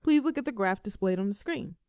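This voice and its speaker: A woman's voice: mid-range voice, lively intonation, a little fast, a little mellow, no echo, studio quality, lightly acted. A woman acting a nervous, earnest best friend in a roleplay audio, addressing the listener like a mock-formal presenter. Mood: mock-formal, playful. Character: nervous, earnest young female best friend confessing a crush.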